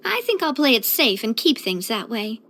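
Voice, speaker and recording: A woman voicing a bright, earnest, sociable young female leader in a video game, chatty and expressive. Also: a little high, moderate pace, exaggerated voice. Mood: pensive, wry. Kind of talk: video game character voice lines.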